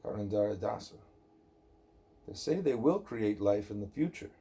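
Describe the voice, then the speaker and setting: A man reading a scripted spiritual dialogue aloud as an audiobook narrator, deliberate and emphatic on rhetorical questions. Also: fairly low pitch, expressive, gravelly, warm tone, slightly rough. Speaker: audiobook reader.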